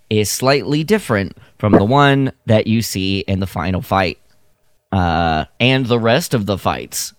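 A man on a podcast panel chatting casually in a halting, wordy way. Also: fairly low pitch, clear, resonant tone, no room sound, clean studio sound. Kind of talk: casual chat.

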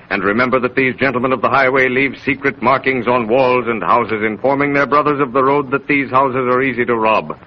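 Casting: male narrator, mid-pitched, oratorical and emphatic, vintage radio storytelling on a dull recording. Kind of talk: 1930s dramatic radio narration, scripted. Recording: muffled, hissy 1930s radio recording.

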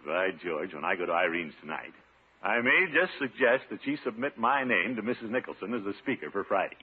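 Brief: pompous middle-aged blowhard official, very animated delivery, theatrical 1950s radio comedy, muffled noisy old recording. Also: male voice, lowish voice, fairly quick, slightly rough. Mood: scheming. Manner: blustery, self-satisfied, theatrical, chuckling. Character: pompous, self-important middle-aged uncle and small-town official.

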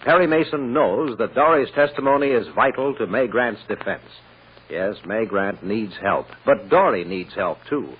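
A man's voice: medium pitch, lively intonation, normal speed, slightly rough. An American man narrating an old radio serial as its announcer, with a folksy, dramatic delivery. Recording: tinny, narrow old radio recording.

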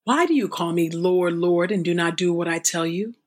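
A woman reading the words of Jesus as a calm grown man teaching, in an even, measured way. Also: lowish voice, close to an everyday voice. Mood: solemn.

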